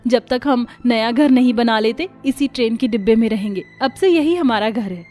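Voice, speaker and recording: A woman's voice: on the high side, moderate pace, mellow, faint music behind, close to an everyday voice. A woman voicing a poor, anxious but practical village wife in dramatized storybook dialogue. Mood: content, brisk.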